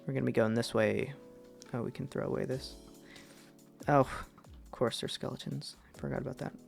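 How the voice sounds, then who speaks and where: A young man with a deep voice chatting casually with his stream chat while he plays, rambling and self-deprecating, trailing off with fillers. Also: fairly even intonation, normal speed, creaky, faint music behind. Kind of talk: casual gameplay commentary to chat.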